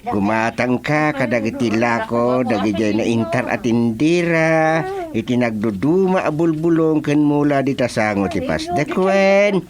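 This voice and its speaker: Man acting slow, swooping fussy village doctor. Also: medium pitch, expressive, a crowd in the background, close to an everyday voice. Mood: hesitant, instructing. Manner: drawn-out, full of hums and interjections. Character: male village doctor, fussy, hesitant, comically exasperated. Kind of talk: Ilocano radio comedy drama acting.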